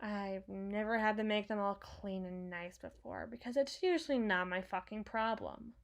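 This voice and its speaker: A woman's voice: mid-range voice, moderate pace, close to an everyday voice. A woman playing a brash, sarcastic, protective villain's henchwoman and field medic in an intimate, dramatic audio roleplay. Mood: muttering, clinical. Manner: sardonic, dramatic, swings from hushed muttering to outbursts.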